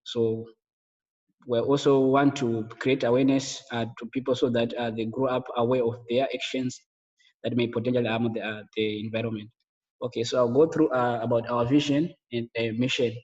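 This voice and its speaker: A young man walks through his slides on a video call, hesitant and full of filler sounds. His voice stays flat and level. The audio is dull and lo-fi, with a roomy echo. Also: medium pitch, moderate pace. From Zimbabwe. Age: young adult. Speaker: project co-founder presenting. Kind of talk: webinar slide presentation. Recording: echoey, dull lo-fi webinar audio.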